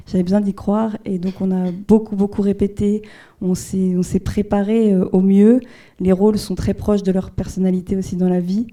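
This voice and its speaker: A woman from the Jura, a film director answering audience questions in a hesitant, thoughtful way with frequent pauses. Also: mid-range voice, mellow. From Jura, France. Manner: hesitant, many fillers and restarts, thoughtful.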